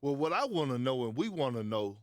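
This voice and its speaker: A man's voice: medium pitch, very animated, fairly quick, no room sound, studio quality, natural voice. An actor playing a blunt male sports TV panelist who presses the guest with skeptical questions. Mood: probing. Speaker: sports talk show panelist. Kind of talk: video game cutscene voice acting.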